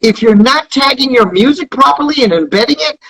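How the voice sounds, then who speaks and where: A man with a high, husky voice gives promotional interview talk as a guest. He speaks emphatically and circles back to repeat his key phrases. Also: lively intonation, brisk, a bit bright, rough recording. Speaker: interview guest, music business founder.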